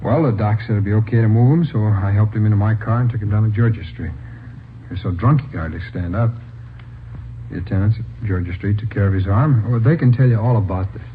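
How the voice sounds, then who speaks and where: An American actor playing an anxious, respectful young policeman in 1950s radio drama style, halting and pleading. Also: fairly low pitch, lightly acted. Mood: earnest, explaining. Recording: old radio, narrow band, noisy.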